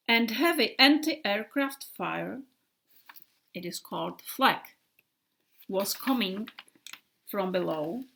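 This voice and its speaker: Woman reading as a male bomber plane, slow dreamy telling, hushed bits, fairly high voice. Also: heavily acted. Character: male bomber airplane telling a wartime tale.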